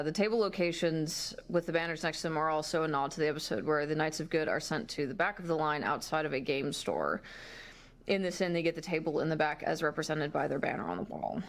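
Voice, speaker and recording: A woman game developer giving explanatory commentary on her design work. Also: sits low.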